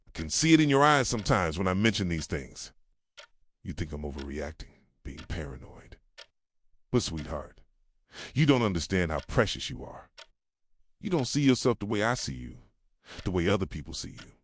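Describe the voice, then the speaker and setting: A very low, close and dry male voice of an actor as an obsessively devoted boyfriend. He talks softly in a brooding, confessional ASMR style, sometimes dropping to a whisper, with lively swings in pitch as tenderness mixes with possessiveness. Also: normal speed, pauses often, no room sound. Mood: pleading, insecure. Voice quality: soft, low, slipping into whispers. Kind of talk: intimate boyfriend roleplay ASMR.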